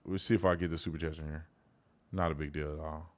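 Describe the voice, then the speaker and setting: A man's voice: a bass voice, little pitch movement, fast. A middle-aged man hosting a live commentary show, chatting casually and colloquially to viewers and reading out their messages. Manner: conversational, colloquial, addressing viewers directly.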